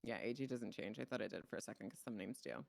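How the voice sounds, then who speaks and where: A man's voice: lowish voice, fast, gravelly, no room sound, clean studio sound. A man from the Czech Republic, a native Czech speaker, bantering informally and animatedly in game roleplay, swearing freely. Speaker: streamer playing a dispatcher in online roleplay.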